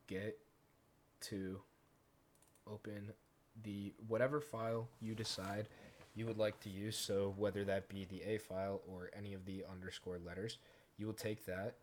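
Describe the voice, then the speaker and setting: Male tutorial host, lower-pitched voice, informal step-by-step walkthrough, faint background noise. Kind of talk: casual screen-recorded software tutorial narration.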